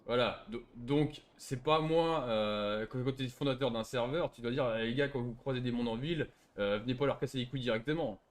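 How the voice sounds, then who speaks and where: A man's voice: mid-range voice, a little fast, few pauses. A man bickering casually as a gaming streamer, sarcastic, provocative and nitpicking. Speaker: gaming streamer trolling players. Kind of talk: casual bickering in online roleplay game.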